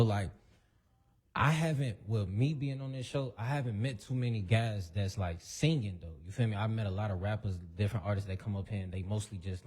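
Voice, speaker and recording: A man's voice: fairly low pitch, a little mellow, husky. A man hosting a casual radio interview, laid back and slangy as he welcomes his guests. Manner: laid-back slangy talk, "you feel me". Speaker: radio show host.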